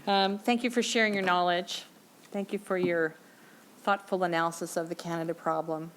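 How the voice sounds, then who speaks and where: A woman's voice: on the low side, steady tone, normal speed, a little hiss or hum. A woman moderating the event, graciously thanking the speaker and opening the floor for questions.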